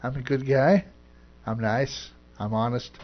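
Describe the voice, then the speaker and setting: A man's voice: medium pitch, fairly even intonation, measured pace, music in the background. A man co-hosting a casual internet radio talk show, laid-back and modest, laughing often. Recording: dull lo-fi audio.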